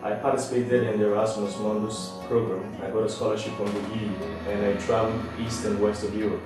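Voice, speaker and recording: Flat-toned young man from Nigeria. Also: lowish voice, fast, over music. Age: young adult. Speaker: former exchange student. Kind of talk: prepared statement to camera. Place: indoor room. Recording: echoey room, mic at a distance.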